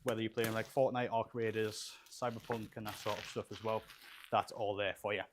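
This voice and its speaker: Young male streamer, lower voice, casual and loose, lots of fillers, small studio. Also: level tone, a little fast, noisy background. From Poland, raised in England. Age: young adult. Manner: chatty, rambling with fillers, reads chat aloud. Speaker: livestream host building gaming PCs. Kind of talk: casual livestream chat. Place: small studio room with shelves.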